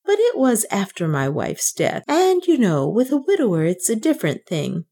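A sickly old banker in a storybook, as a woman narrator puts him on, speaking in wheedling, unreal tones that jump high and shaky with excitement. His voice climbs well above hers and wavers as he pleads for forgiveness. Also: moderate pace, full character voice. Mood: pleading. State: tremulous, excited. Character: feverish old male banker, wheedling and penitent.

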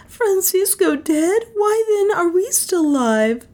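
A fearless, passionate young woman in an adventure audiobook, voiced by a female narrator. She speaks well above a low register, distraught and indignant, with drawn-out, accusing cries. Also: animated, mellow. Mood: grief-stricken. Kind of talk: dramatised audiobook dialogue.

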